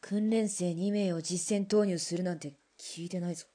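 An actress playing a cool, defiant military weapons engineer in a radio drama, with blunt, clipped, mannish phrasing. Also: female voice, lowish voice, fairly even intonation, normal speed, dark tone, natural voice. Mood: cool, challenging.

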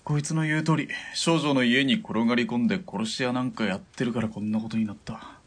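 An actor playing a grumbling, mercenary partner in an assassin duo in a radio drama, with a casual, sardonic way of talking. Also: male voice, medium pitch, fairly even intonation, fairly quick, close to an everyday voice. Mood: wry. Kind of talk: Japanese radio drama acting.

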